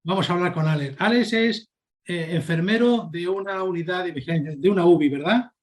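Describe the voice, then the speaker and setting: Slightly high-voiced male co-host. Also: fairly quick. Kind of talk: hosting an interview.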